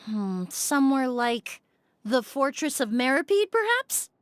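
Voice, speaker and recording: Calm precise perfumer, measured delivery, higher voice, dry studio sound. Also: female voice, no room sound. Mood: deducing. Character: composed female perfumer investigating, calm and precise. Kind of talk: game voice acting.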